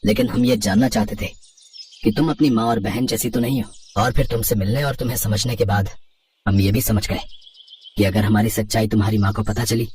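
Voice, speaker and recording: A man's voice: on the low side, steady tone, a little fast, darkish tone, natural voice. A man playing a sincere young husband and dutiful son who speaks in an earnest, explanatory way. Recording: noisy, distant voice recording.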